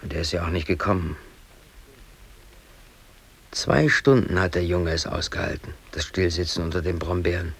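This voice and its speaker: Brooding, aggrieved low-voiced working man. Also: moderate pace, gravelly, long pauses, a bit bright, breathy edge, full character voice. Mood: wistful, rueful. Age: late 30s. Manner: brooding, rambling, swinging between muttering and outbursts. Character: aggrieved East German factory worker and obsessive hobby photographer. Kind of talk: radio drama interior monologue.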